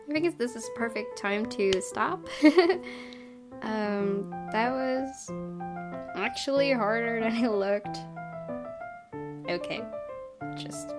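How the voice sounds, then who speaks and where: A woman commentating on a horror game in a reactive, giggly way, switching between reading story text aloud and exclaiming. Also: a little high. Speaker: gaming video host playing a horror visual novel. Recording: loud music behind the voice. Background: horror game music.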